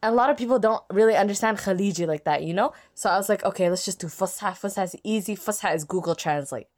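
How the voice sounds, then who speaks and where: A young woman tells a story from her own life in a loose podcast chat. She is animated and self-mocking, and she often laughs mid-sentence. Her voice sits at an ordinary mid pitch. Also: fast talker. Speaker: podcast guest.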